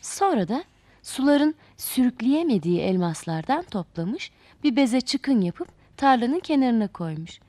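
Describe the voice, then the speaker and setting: High, animated female storyteller. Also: unhurried, no echo. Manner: theatrical, addresses children directly. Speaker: children's storyteller. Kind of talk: expressive fairy-tale narration.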